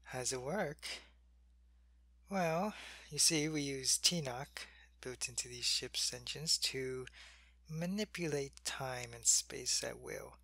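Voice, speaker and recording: A man playing a sick, weak male alien soldier in an ASMR roleplay. He speaks softly into a close mic and often drops to a whisper. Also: medium pitch, takes it slowly, dry recording, exaggerated voice. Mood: weary, gentle. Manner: soft-spoken, drifting into whispers.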